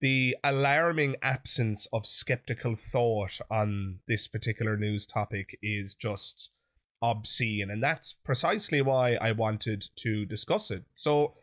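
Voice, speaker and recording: A man's voice: medium pitch, a little slow, fairly bright tone. Male host, chatty direct-to-camera commentary, chesty and sniffly from an infection. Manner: chatty, direct address to viewers. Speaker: YouTube channel host. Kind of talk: opinion commentary monologue.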